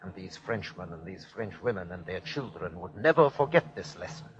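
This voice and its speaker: A ruthless German army captain in an old radio play. He declaims and commands in clipped, fanatical style. The recording is dull and low in fidelity, as vintage broadcasts are. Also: lively intonation, normal speed, faint music behind. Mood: cold, reporting. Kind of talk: old-time radio drama acting.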